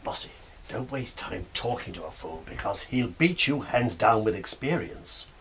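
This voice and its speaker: A man playing a condescending, smug, seasoned character in a radio drama, speaking slowly. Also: lowish voice, lively intonation, fairly bright tone, slight background noise.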